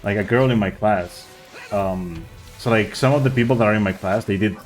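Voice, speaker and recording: Low-voiced rambling male streamer. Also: normal speed, soft background music. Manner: rambling, searching for words. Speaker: speedrunner streaming a game. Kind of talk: casual chat while playing.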